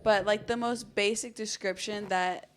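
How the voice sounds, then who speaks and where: A woman's voice: mid-range voice, steady tone, measured pace, a little hiss or hum. Chatty young American woman telling true crime. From Arizona, United States. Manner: informal, chatty. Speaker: podcast host. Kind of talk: conversational true crime storytelling.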